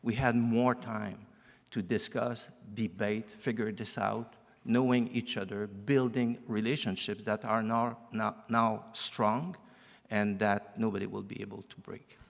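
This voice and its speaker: A man giving a slow, deliberate public speech at a summit. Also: fairly low pitch, little pitch movement, creaky. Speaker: summit speaker. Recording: echoey hall with long reverb.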